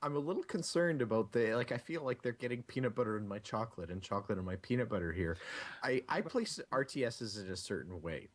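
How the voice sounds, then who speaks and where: A man's voice: medium pitch, lively intonation, fast talker. A man co-hosting a casual gaming news podcast, making wry, laid-back remarks. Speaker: podcast co-host.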